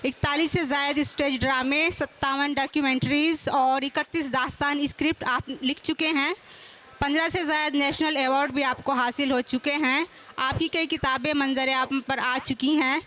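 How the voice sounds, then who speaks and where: A woman's voice: high-pitched, a bit bright. A woman announcer reading formal, courteous introductions at a seminar, occasionally stumbling over the script. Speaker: event announcer. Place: public seminar with a stage. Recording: clear background noise, event ambience.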